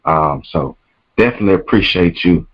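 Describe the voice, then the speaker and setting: A male podcast host with a smooth voice, wrapping up his show in a laid-back way with casual slang and repetition. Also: sits low, lively intonation, normal speed. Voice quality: smooth "quiet storm" voice. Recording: dull lo-fi recording.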